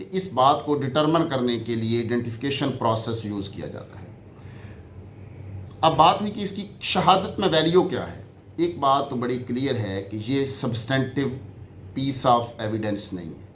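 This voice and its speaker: A man with a Pakistani accent giving an explanatory lecture, teaching by posing questions and answering them, repeating key phrases. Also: on the high side. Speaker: law lecturer. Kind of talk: explanatory exam-prep lecture mixing Urdu and English. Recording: echoey room with faint background noise.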